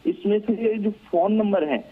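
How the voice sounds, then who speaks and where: An Indian man reporting live by telephone as a news correspondent, analysing events in deliberate, halting phrases. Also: high-pitched, husky. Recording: narrow, tinny phone line.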